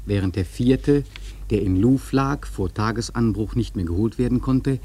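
A man playing a sailor who narrates a whaling tale in a radio drama, husky, measured and grave. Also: lowish voice, warm tone. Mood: grave, reflective. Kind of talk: literary radio play narration.

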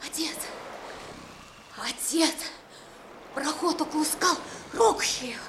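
High-pitched excitable teenage girl. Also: takes it slowly. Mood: excited, alarmed. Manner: urgent, exclaiming, insistent. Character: excitable sixteen-year-old lighthouse keeper's daughter. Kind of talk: 1960s Soviet radio drama acting. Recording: noisy old radio drama recording.

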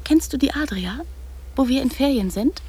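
An actress playing an imaginative, bossy little girl in a radio drama, often dropping into secretive whispers and then insisting loudly. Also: high-pitched, animated, moderate pace, husky. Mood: bossy, excited. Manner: hushed, secretive whispering broken by sudden loud insistence.

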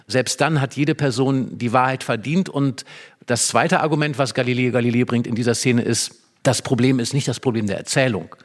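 A man's voice: mid-range voice, moderate pace. Man explaining a play in vivid detail.

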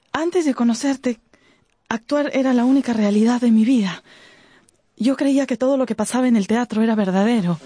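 An actress playing a lovestruck, dreamy young stage actress in a radio drama, soft and rapturous in her theatrical delivery. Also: sits high, breathy edge.

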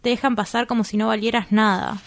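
A woman's voice: fairly high pitch, steady tone, fast, creaky. A woman with an Argentine accent playing a coy, earnest young woman in love in a radio drama. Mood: tender, coy.

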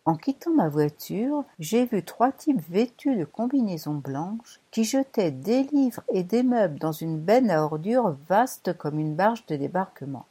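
A woman narrating a first-person novel as an audiobook, in a measured, wry storytelling manner. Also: medium pitch, very animated, dark tone, husky.

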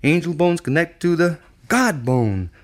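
Male poetry reciter, very animated intonation, slow rhythmic delivery. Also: a little high.

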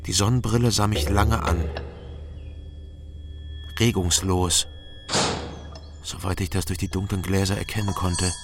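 A man's voice: low voice, fairly even intonation, measured pace, pauses often, very noisy. An actor playing a wry East German man in a radio crime drama, who tells his own story in terse fragments and talks his way through questioning. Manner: terse, clipped first-person telling in short fragments. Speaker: narrator and protagonist.

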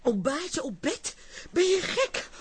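Shrill fretful wife. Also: female voice, sing-song, takes it slowly, heavily acted. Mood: alarmed, scolding. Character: fretful, hurried wife just woken up, scolding. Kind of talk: radio drama acting.

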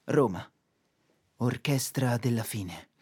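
An actor playing a fevered prophet narrating a doomed city, declaiming a theatrical monologue with insistent repetitions. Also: male voice, mid-range voice, expressive, slow, darkish tone, slightly rough. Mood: solemn, poetic.

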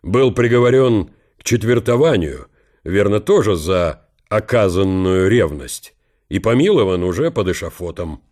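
An actor playing a gloomy old field marshal, dry, curt and ironic. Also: male voice, lowish voice, lively intonation, measured pace, darkish tone. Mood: bitter irony.

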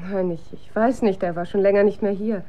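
Actress as soft-toned, anxious, doubting woman. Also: mid-range voice, fairly even intonation, fairly quick, dull audio, natural voice. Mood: wary. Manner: questioning, hesitant. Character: anxious, sceptical wife in dystopian wartime. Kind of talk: German radio drama acting.

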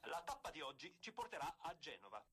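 Forceful, husky vintage race commentator. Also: male voice, a little high, very animated, brisk, full character voice. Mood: proud, announcing. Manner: formal, declamatory announcer delivery. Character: emphatic 1920s radio commentator announcing a bicycle race. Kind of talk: period sports commentary, theatrical radio acting.

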